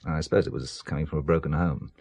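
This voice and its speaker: A man's voice: very deep voice, fairly even intonation, quick pace, gravelly, a little mellow, husky, no room sound, full character voice. An actor playing a wry, secretive middle-aged businessman in a radio drama, dryly evasive and making light of things. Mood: tender, earnest.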